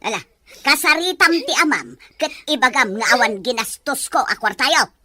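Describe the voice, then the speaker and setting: A scheming old grandmother from a radio drama, with a high, sharp voice that swings widely as she scolds and mutters to herself. She is sly and quick to snap. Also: expressive, noisy background. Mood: angry scolding. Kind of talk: Ilocano radio drama acting.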